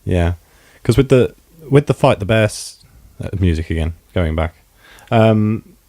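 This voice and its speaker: An English man hosting a local podcast, asking casual, halting questions. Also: lowish voice, expressive, warm tone. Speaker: podcast host. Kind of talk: interview questions.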